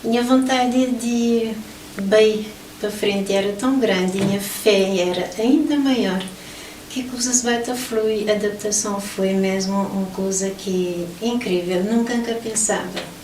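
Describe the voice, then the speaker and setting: A woman's voice: mid-range voice, a little slow, darkish tone. Woman, middle-aged, from Portugal, interview guest, personal storytelling, roomy sound, distant mic. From Portugal (Lisbon). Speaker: interview guest, motivational event organizer. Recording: roomy, distant mic, faint background noise.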